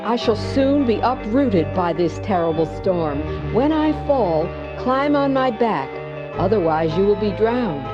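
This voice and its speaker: A woman voicing a solemn, protective old tree who is a father, in a measured storybook manner. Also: medium pitch, very animated, music in the background. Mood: alarmed. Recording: dull old cassette transfer.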